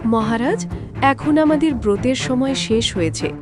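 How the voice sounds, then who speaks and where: A woman voicing an excitable, polite young princess in a storybook folktale. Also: sits high, unhurried, over music. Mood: respectful.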